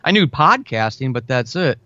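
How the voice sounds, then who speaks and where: A man's voice: medium pitch, very animated, moderate pace, pushed and bright, dry recording, highs cut off. An American man talking chattily and with enthusiasm as a guest in a conversational interview, repeating words for emphasis. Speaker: podcast guest, audio drama writer.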